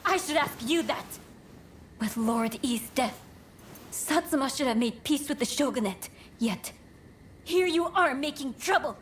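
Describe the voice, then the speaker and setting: An actress playing a dignified, stern princess in a video game, speaking formally and with composure. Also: female voice, sits high, expressive, a little slow, a little hiss or hum. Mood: indignant. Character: dignified, stern Japanese princess, commanding and gracious. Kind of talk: dramatic video game acting.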